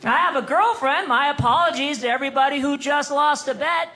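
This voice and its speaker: Fifties comedian guy, high and bright, dry wry delivery on a club stage. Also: brisk, faint music behind. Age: 50s. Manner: wry, deadpan storytelling with crisp punchlines. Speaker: stand-up comedian. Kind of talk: stand-up comedy set.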